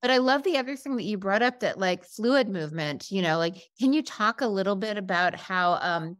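A woman's voice: medium pitch, expressive, fairly quick, a bit bright, dry recording. A middle-aged woman hosting an interview over a video call, speaking in an informal, conversational way. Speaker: interview host. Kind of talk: casual chat. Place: room at home, video call.

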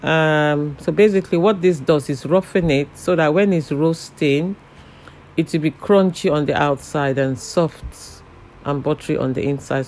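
Cooking host, man with a fairly high voice, relaxed and chatty, talks through each step with filler words. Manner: relaxed, chatty, frequent "you know" and "um" fillers. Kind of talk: casual step-by-step cooking narration.

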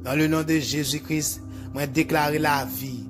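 A man with a Haitian accent delivers a preacher's prayer in short, declarative phrases. His voice sits a little higher than most men's. He repeats his lines like an incantation, with music clearly playing behind him. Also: steady tone, unhurried. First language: Haitian Creole.

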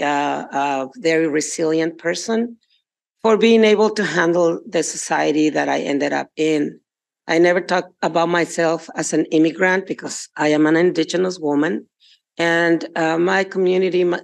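Gravelly-voiced woman from Mexico on a panel. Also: low voice, darkish tone. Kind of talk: panel introduction. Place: large law school hearing room.

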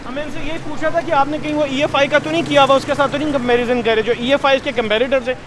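A man's voice: very high-pitched, fast talker. A man vlogging casually on a street outside a motorbike shop, switching between Hindi and English as he talks about a bike. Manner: mixes English into Hindi, animated. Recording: loud street traffic behind.

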